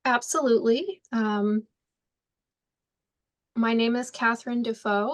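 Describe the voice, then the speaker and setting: Woman presenting with frequent ums. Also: medium pitch. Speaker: county conservation district manager. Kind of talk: presentation to an online audience.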